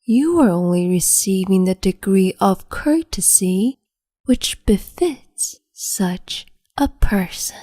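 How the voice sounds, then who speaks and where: A woman voicing a cruel, capricious empress in an ASMR roleplay, speaking slowly and haughtily in a half-whisper close to the microphone. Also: mid-range voice, lively intonation, warm tone, no echo. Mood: haughty, taunting.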